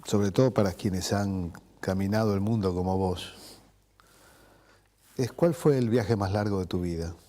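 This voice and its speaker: A man's voice: sits low, measured pace, mellow. A man with an Argentine accent interviewing his guest conversationally, adding cultural asides between his questions. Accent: Argentine (voseo).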